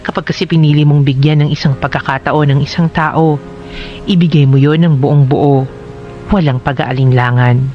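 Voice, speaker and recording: A man with a slightly high voice narrates as a radio drama host. He reads a letter in a measured, sincere, heartfelt style. Faint music plays behind him. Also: steady tone, dull audio.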